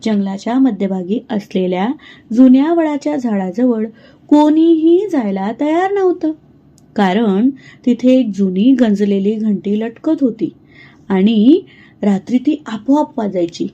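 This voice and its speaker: A woman narrating a children's cartoon moral story in a slow, gentle, clear way. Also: on the high side, lively intonation, muffled recording.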